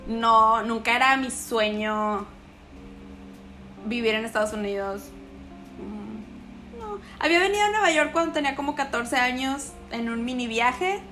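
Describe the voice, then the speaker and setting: Slangy Mexican woman, lively chat. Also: fairly high pitch, measured pace, faint music behind. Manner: slangy, animated, quotes others in voices. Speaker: guest. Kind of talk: casual interview chat.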